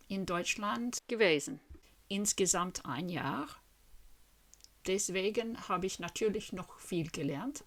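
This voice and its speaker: A woman in her fifties from Western Australia, with an Australian accent, talks to camera in a language she learned later in life. She speaks slowly and deliberately, in an earnest, friendly way, and keeps asking her viewers questions. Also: on the low side. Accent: Australian English. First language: English. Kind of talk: slow, careful talk to camera in a learned language.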